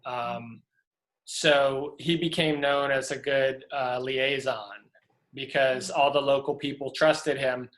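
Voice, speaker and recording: A middle-aged man from Louisiana, a prop master telling stories in a relaxed interview over a video call, rambling with many fillers and restarts. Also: mid-range voice, takes it slowly, poor audio.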